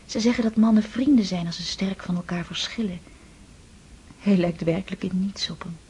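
An actress playing a young woman confiding in hushed, half-whispered tones in a radio play. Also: fairly low pitch, normal speed, husky. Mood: tender. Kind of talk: radio drama acting.

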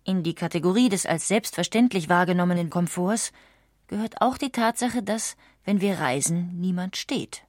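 A woman reading scripted documentary narration in a measured, articulate way. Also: fairly low pitch, darkish tone, no echo, clean studio sound. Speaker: audiobook narrator.

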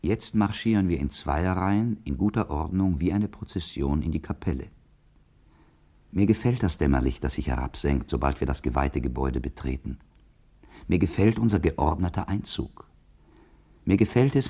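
An actor playing a devout, orderly schoolboy in a radio drama, with an earnest and reverent delivery. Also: male voice, very deep voice, warm tone, breathy edge, exaggerated voice. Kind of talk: literary radio drama monologue.

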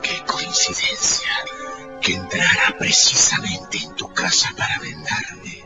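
An actor playing a menacing, megalomaniac rogue scientist in a radio drama, with a slow, whispery, theatrical delivery. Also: male voice, natural voice. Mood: smug, intense. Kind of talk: Spanish-language radio drama acting. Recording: loud music over the voice.

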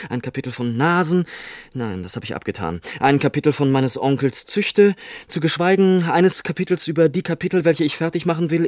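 Wry, digressive gentleman narrator for literary radio drama, male actor; medium pitch, mock-solemn, dry studio sound. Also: dry recording, lightly acted.

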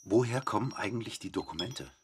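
A man's voice: fairly low pitch, expressive, fairly quick, very noisy, lightly acted. A man delivering terse scripted lines in a radio drama feature. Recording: loud music under the voice. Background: loud music.

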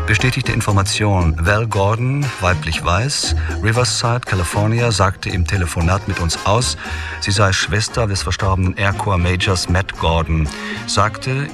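A man's voice: deep voice, lightly acted. An actor playing a man reading out a terse police case report in an impersonal, telegraphic manner. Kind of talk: clipped official report reading. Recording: distant radio-like voice over music. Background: music.

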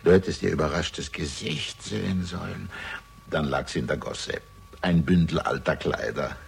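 Husky, grandiose older villain. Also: male voice, rumbling low, normal speed, heavily acted. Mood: gloating confession. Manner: theatrical, grandiose, sneering, swinging between murmur and outburst. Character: arrogant, gloating older male murderer, fanatically proud father. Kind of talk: 1960s German radio crime drama acting.

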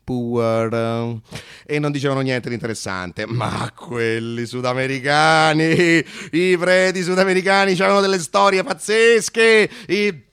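A man with a Roman accent telling an autobiographical story as a theatrical monologue, colloquial and animated, with hesitations. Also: on the high side, moderate pace, few pauses, clear, resonant tone, dry recording, clean studio sound. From Italy. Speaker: actor and storyteller.

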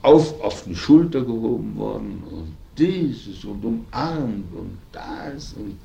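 A man's voice: lowish voice, animated, takes it slowly, creaky, highs cut off, noisy background. An older man in informal conversation, talking boastfully and emphatically in repetitive fragments, on an archive recording.

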